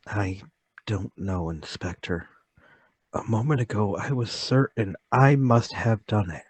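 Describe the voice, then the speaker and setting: A man plays a meek suspect in a mystery radio play. The voice is lowish and husky, and the words come slowly, with polite, hesitant bewilderment. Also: no room sound. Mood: bewildered.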